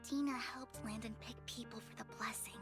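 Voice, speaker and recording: A gentle young woman from a video game, voiced by an actress, speaks softly and formally in a fairly high voice. She is earnest and carries deep sadness, with clear music playing behind her. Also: fairly even intonation, fairly quick, lightly acted. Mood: sad, confiding. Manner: soft, earnest, formal address. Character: gentle, sorrowful, polite young woman shunned as a bringer of misfortune.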